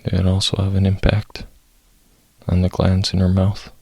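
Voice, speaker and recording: A man leading a guided meditation, soft-spoken and sometimes breathy, in short phrases with long pauses. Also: low-pitched, monotone, no echo.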